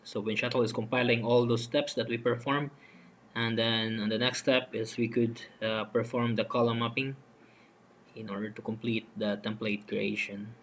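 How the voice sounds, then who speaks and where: Male software demonstrator, unscripted and halting, walking through screen steps, some noise behind the voice. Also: medium pitch. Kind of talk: step-by-step screen tutorial narration. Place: voice-over for a screen recording. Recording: noticeable steady background noise.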